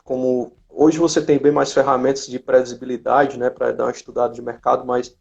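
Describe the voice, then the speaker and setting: A man's voice: medium pitch, little pitch movement, fairly quick, gravelly. A man with a Brazilian accent talking casually as he hosts a live online chat. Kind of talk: casual conversation. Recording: dull lo-fi live-stream audio.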